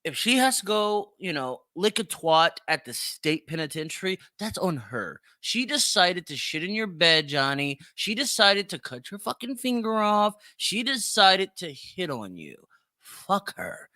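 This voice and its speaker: Brash, bright, high-pitched man. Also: very animated, measured pace, no room sound. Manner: brash, profane, exclamatory. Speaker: podcast host. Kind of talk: opinionated reaction commentary.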